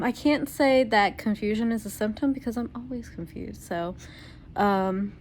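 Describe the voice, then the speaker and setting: A woman's voice: mid-range voice, slight background noise. A young woman recording a casual video diary at home, her voice tired and congested while she is sick, rambling with lots of ums and small laughs. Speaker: vlogger.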